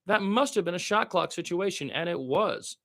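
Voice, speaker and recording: A man's voice: sits high, animated, moderate pace, creaky, a bit bright. A man doing amateur radio-style basketball play-by-play in short clipped phrases, with opinionated asides as a partisan fan.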